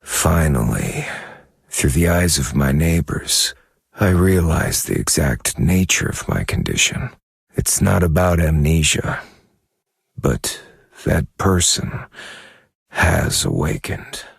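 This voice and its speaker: Super deep raspy brooding amnesiac writer in a game, grave slow monologue, dry close sound. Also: male voice, animated, no room sound, exaggerated voice. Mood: grave, troubled. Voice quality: gravelly, husky. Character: brooding amnesiac male novelist turned investigator, troubled. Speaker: game character narrator. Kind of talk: dramatic scripted monologue.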